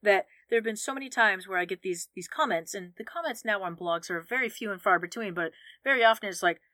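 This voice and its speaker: A woman co-hosting a podcast, chatting casually and telling stories in a candid, animated way. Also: medium pitch, quick pace, dry recording, clean studio sound. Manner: candid, animated storytelling with frequent restarts. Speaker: podcast co-host. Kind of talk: casual conversational chat.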